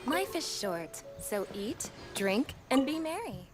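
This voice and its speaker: Female game townsperson: carefree, very sing-song delivery, loud music underneath. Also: fairly high pitch, big pitch swings, takes it slowly. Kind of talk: video game background character acting.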